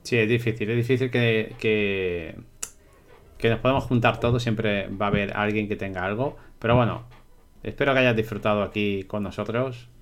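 A man's voice: on the low side, fairly even intonation, moderate pace. A Spanish man hosting a livestream podcast, easygoing as he wraps up the show. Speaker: podcast host.

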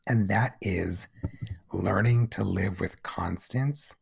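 A man hosting his own podcast in a relaxed, rambling chat, sounding congested and scratchy from allergies. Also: deep voice, slow, gravelly, slightly rough. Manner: relaxed, rambling, lots of fillers. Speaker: podcast host. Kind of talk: casual solo podcast chat.

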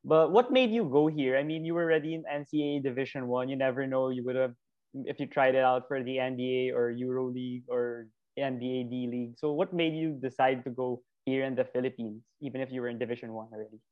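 A male host of middle pitch asks long, meandering questions in casual chat. His voice comes through thin and tinny, like over a phone line. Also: steady tone, quick pace. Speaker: podcast host.

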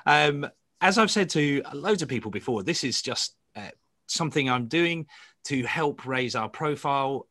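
A man hosting a podcast, chatting casually and warmly with his guest, often restarting his sentences. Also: mid-range voice, animated, moderate pace. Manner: friendly, stumbling restarts. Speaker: podcast host. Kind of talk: casual interview chat.

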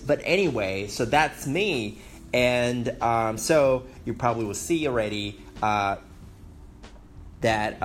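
Man, Taiwanese accent, thirties, slow deliberate pace, low voice, faint hiss behind, hesitant podcast talk. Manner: hesitant, many ums, self-conscious. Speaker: podcast host. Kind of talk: casual solo podcast talk. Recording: faint background noise.

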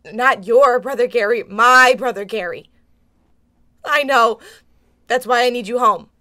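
A woman's voice: a little high, measured pace, bright, forceful tone. A woman playing a fussing, anxious middle-aged mother in a comedic audio drama, coaxing and tightly controlled. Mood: urgent, clipped.

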